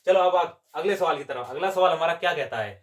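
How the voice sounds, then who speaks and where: Male tutor, fairly high voice, explains step by step and answers his own questions. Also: fast talker. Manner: asks questions and answers them himself. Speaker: exam coaching maths teacher. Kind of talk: step-by-step lesson.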